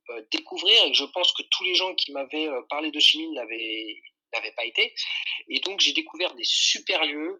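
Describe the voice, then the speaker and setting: Very bright-voiced Frenchman in his forties. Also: a little high, low-quality recording. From France. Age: 40s. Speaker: podcast guest, tour guide and photographer. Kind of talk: conversational interview answers.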